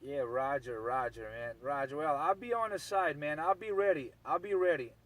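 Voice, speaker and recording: A man's voice: on the high side, normal speed, very noisy. An insistent man, ranting casually and repetitively as a CB radio operator.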